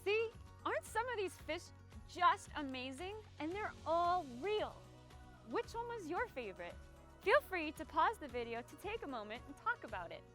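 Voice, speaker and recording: A woman's voice: very high voice, big pitch swings, moderate pace, fairly bright tone, soft background music. A woman presenting a children's storytime as a friendly mermaid, playfully talking straight to young viewers with exaggerated expression. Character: friendly singing mermaid who loves the land. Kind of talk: children's storytime read-aloud and singalong presenting.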